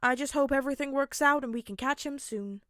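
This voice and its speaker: A woman's voice: high voice, brisk, a bit bright, no room sound, studio quality, pitched above the performer's own voice. A woman voicing a polite, cooperative male hero in an earnest, placating way for a story reading. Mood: reassuring. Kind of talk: podfic character dialogue.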